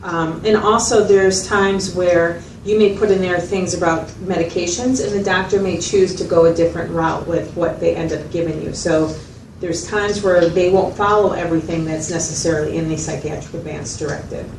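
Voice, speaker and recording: A woman acting as a patient mental health clinician with a fairly low voice, talking a client through things methodically. She often checks for understanding. The sound is roomy and picked up from a distance, with some noise. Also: steady tone, moderate pace, few pauses, lightly acted. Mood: patient, explanatory. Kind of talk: explanatory one-to-one consultation, role-played. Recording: roomy, distant mic, noisy room sound.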